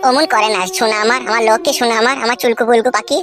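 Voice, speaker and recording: A man's voice: very high voice, steady tone, racing, music in the background. A man voicing a harried, foul-mouthed husband who wheedles and grumbles in a comic cartoon. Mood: coaxing.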